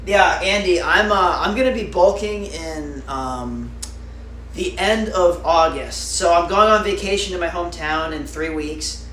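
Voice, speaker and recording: A young man with a slightly higher voice chats loosely with his live-stream audience, trailing off into fillers. He sits back from the mic in a roomy, echoing space with a faint hiss underneath. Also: measured pace, bright, forceful tone, distant mic. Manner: chatty, rambling with fillers, answering viewers. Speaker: livestreamer. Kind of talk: casual live-stream chat.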